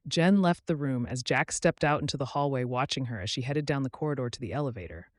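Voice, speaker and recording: A man reading scripted fiction aloud as a story narrator, in an expressive storybook manner. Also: medium pitch, fast, no echo, clean studio sound.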